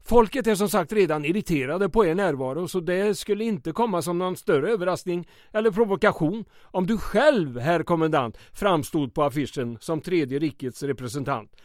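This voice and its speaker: A man's voice: high-pitched, few pauses, no echo, clean studio sound, lightly acted. An actor playing an anxious, persuasive small-town mayor in an old-time radio comedy drama, earnest, deferential and florid in his wording. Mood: flattering, careful.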